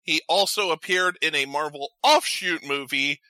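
A man's voice: fairly high pitch, normal speed, sharp tone, dry recording, rough recording. A man hosting a casual radio show, reading out trivia and chatting with co-hosts. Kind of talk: casual chat hosting an entertainment quiz.

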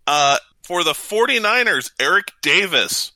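A man's voice: high-pitched, animated, a little slow, sharp tone, no room sound. A man co-hosting a casual sports debate, reading out matchups and giving his picks in an offhand, chatty way.

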